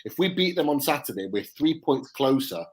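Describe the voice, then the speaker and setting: A man's voice: medium pitch, very animated, moderate pace, faint music behind. A man co-hosting a fan forum, talking confidently and sharing strong opinions. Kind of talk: casual fan chat.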